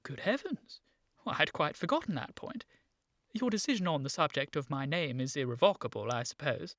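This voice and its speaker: A woman playing an earnest, excitable gentleman in a period radio comedy, formal and urgent with an agitated stammer. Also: deep voice, fairly quick, no room sound, studio quality. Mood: anxious, resolute. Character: earnest, excitable young gentleman seeking his origins.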